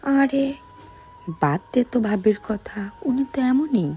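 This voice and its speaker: A woman plays a reassuring young relative in an audio story. Her voice is very breathy and husky, at an unhurried pace, with faint music behind. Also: fairly high pitch, animated, dark tone, heavily acted. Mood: soothing.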